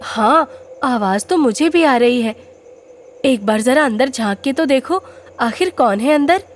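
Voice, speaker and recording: A woman playing a high-pitched village wife. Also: lively intonation, measured pace. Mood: sceptical, curious. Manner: dramatic, emotive. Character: village wife, first sceptical, then distraught. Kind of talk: animated story character acting.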